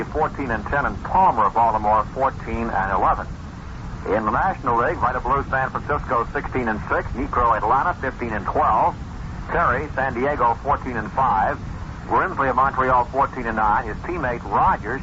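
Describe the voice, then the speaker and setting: A man reading out baseball statistics on 1970s radio in a clipped, list-like way. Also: mid-range voice. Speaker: baseball broadcaster. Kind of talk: radio sports statistics rundown. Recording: dull, noisy 1970s radio broadcast.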